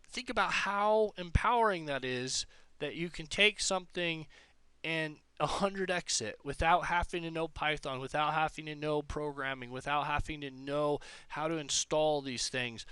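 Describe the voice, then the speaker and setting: A man's voice: on the high side, pushed and bright, smooth, no echo. A man giving a casual explanatory monologue as a tech presenter, enthusiastic and emphatic, often repeating phrases to drive points home. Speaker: presenter of a tech demo video.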